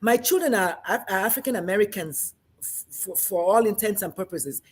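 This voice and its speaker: Husky-voiced Nigerian woman, emphatic. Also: medium pitch, a little fast. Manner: animated, emphatic. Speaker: guest. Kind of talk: casual discussion.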